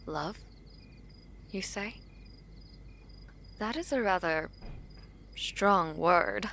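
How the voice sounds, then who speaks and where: A woman's voice: lowish voice, expressive, very slow, bright, forceful tone, slight background noise, exaggerated voice. A woman playing a guarded, protective royal in an audio drama, with a grave, stately and formal delivery. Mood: wary, probing. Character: guarded, regal imperial sibling, protective of a younger brother.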